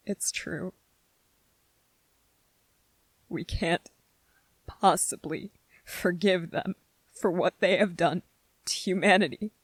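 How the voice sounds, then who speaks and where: A woman playing an anxious, gentle, earnest young male soldier in a soft-spoken ASMR drama, speaking haltingly. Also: mid-range voice, breathy edge, no room sound. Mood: bitter, hurt. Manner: hesitant, halting, repeating phrases.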